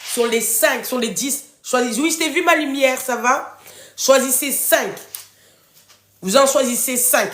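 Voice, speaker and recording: Casting: woman, high voice, stuffed up with a cold, encouraging and informal live workshop coach, roomy echoing sound. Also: unhurried, a bit bright. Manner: informal, affectionate address to listeners, encouraging. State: congested, runny nose from a cold. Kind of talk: casual interactive coaching livestream, reading out participants' answers.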